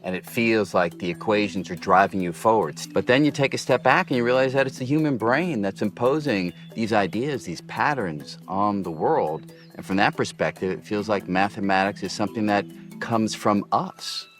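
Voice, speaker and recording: A man in his sixties being interviewed in the woods, explaining in a calm, reflective way. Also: on the low side, moderate pace, soft background music. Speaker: interviewed physicist. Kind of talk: documentary interview. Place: outdoors in woods.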